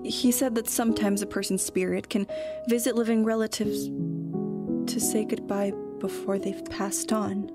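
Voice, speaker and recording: Actress as eclectic young granddaughter, guitar behind. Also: female voice, medium pitch, flat delivery, moderate pace, natural voice. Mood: casual. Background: plucked guitar music.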